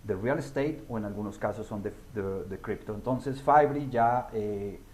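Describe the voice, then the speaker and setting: A man co-hosting a webinar over a video call, halting and full of "eh" fillers. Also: lowish voice, a little mellow. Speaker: webinar co-host. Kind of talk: webinar presentation.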